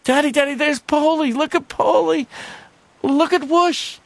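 Male storyteller doing a high, eager little boy in a storybook tale, pleading and excitable, dry close sound. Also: a little slow, creaky, dry recording, exaggerated voice. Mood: excited. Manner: repeats "Daddy, Daddy" breathlessly. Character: excited little boy who loves imaginary polar bears.

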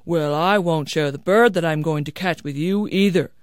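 Sly, stubborn older village man for a storybook, acted by a woman, animated delivery, dry studio-like closeness. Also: medium pitch, unhurried, no echo, lightly acted. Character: stubborn, sly older father-in-law, gloating and lazy.

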